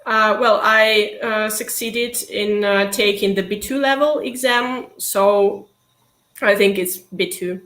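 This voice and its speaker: A young woman, a university student, answering interview questions in a hesitant, casual way with many pauses and easy laughter. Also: mid-range voice, steady tone, fairly bright tone, echoey room, low-quality recording. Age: young adult.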